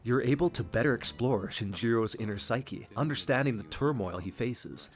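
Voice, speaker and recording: A man giving earnest, scripted video essay narration about games in polished phrasing. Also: medium pitch. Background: background music.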